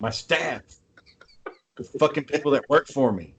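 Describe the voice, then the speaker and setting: A man's voice: mid-range voice, expressive, a little slow, a little hiss or hum. A male podcast co-host chats casually and tells everyday stories. He is blunt and swears freely as he goes. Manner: profane, blunt. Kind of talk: casual chat, telling stories.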